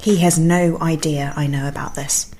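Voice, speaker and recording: A woman playing an anxious, secretive, grieving young wife in a naturalistic radio thriller, hushed and halting as she confides. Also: sits low, steady tone, noisy background. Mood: agitated, confiding. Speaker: actor in an audio drama.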